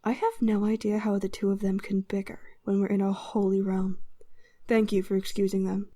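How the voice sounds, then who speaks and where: Mellow, gracious female druid played by an actress. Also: medium pitch, level tone, moderate pace, close to an everyday voice. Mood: calm, gracious. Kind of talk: fantasy audio drama acting.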